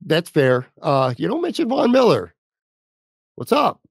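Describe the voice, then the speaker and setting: A man's voice: sits high, wildly swooping pitch, unhurried, gravelly, slightly rough, no echo, studio quality. A man hosting a sports podcast in a casual, blunt, opinionated and informal way. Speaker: podcast host.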